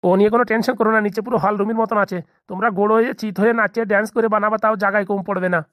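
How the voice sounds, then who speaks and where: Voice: medium pitch, fairly even intonation, rapid-fire, dry recording, studio quality, close to an everyday voice. A performer playing a fretful, kindly middle-aged landlord and parent in a comedy cartoon, chatty and fussing, with a colloquial Bengali accent.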